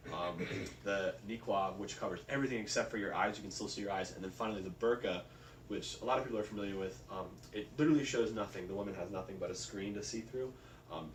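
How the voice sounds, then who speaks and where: A man giving an informal spoken presentation, explaining things in a casual, loosely worded way. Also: lowish voice. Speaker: presenter of a research report. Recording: roomy, distant mic, faint background noise.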